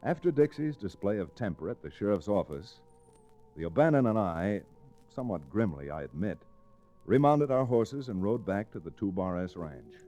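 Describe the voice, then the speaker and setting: An actor playing a level-headed, wry lawyer hero in an old-time radio western. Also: male voice, on the low side, sing-song, moderate pace, warm tone, muffled recording. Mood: wry narration. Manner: wry, reasoning.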